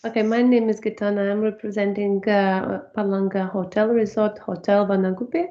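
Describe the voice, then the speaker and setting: A woman's voice: mid-range voice, flat delivery, normal speed, mellow. A woman briefly introducing her resort hotel in an online meeting, speaking cheerfully. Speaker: hotel representative. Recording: dull lo-fi video-call audio.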